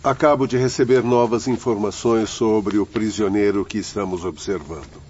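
A man's voice: on the low side, fairly even intonation, moderate pace, darkish tone, natural voice. A male actor playing a formal spirit official in a radio drama. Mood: businesslike, serious. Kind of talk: radio drama acting in Portuguese. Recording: dull lo-fi audio, faint noise.

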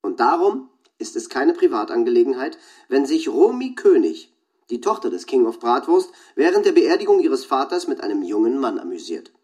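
An actor playing a sensationalist tabloid columnist delivering a pompous, rhetorical scandal report in a radio drama. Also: male voice, mid-range voice, big pitch swings, moderate pace, darkish tone, natural voice. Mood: pompous, insinuating.

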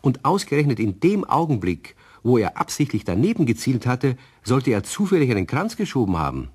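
A man playing the narrator of a children's radio play, telling the scripted story in a husky voice. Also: mid-range voice, wildly swooping pitch, fairly quick, darkish tone. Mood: wry.

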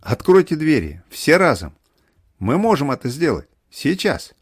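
Мужчина озвучивает отчаянную дочь, которая резкими, срочными приказами командует семьёй в аудиорассказе. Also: male voice, a little high, big pitch swings, moderate pace, slightly rough, dry recording, clean studio sound, heavily acted. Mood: urgent commanding. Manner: urgent, clipped orders. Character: commanding, desperate daughter rallying her family.

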